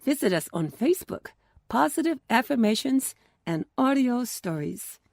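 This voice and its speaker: Female children's storyteller: expressive and deliberate, mellow tone with some creak, scripted narration. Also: fairly high pitch, no room sound, clean studio sound. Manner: expressive, deliberate, with hushed phrases. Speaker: storyteller and podcast host.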